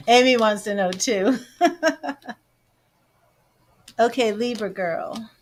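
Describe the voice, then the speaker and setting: A woman's voice: on the high side, extremely slow, slight background noise. A woman giving live tarot readings, chatting to viewers by name and musing over the cards. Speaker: tarot reader.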